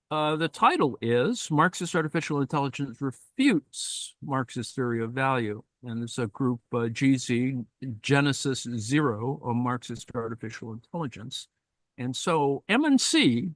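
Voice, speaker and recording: A man reading a text aloud in a live-streamed discussion and commenting on it, thoughtful and halting, with many fillers and asides. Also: medium pitch, no room sound.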